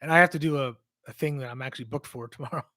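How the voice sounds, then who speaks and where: A man hosting a casual livestream Q&A, rambling as he answers viewer questions, his voice strained and hoarse from losing it. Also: medium pitch, racing, fairly bright tone. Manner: rambling, conversational, reads out and answers chat questions.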